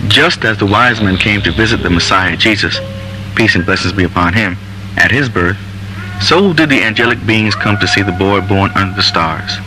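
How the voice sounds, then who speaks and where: Man, lowish voice, solemn measured narration, music behind. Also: poor audio. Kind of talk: reading a story passage aloud.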